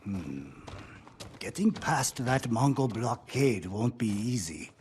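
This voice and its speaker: An actor playing a weary, hard-drinking smuggler in a video game, wry and evasive. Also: male voice, medium pitch, expressive, slow pace, darkish tone, a little hiss or hum. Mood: wary. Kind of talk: cinematic video game dialogue. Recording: music under the dialogue.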